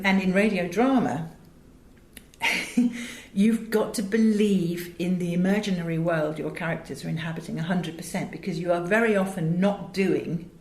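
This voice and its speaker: A middle-aged woman with an English accent, telling anecdotes emphatically and theatrically as an interview guest. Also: on the low side. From England. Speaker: interview guest, voice director and audio producer. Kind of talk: animated anecdotal interview talk. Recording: roomy echo with faint background noise.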